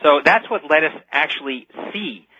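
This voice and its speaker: A man's voice: fairly high pitch, animated, normal speed, sharp tone, dry recording. A man speaking as an expert radio guest, explaining technology informally in dull, lo-fi interview audio.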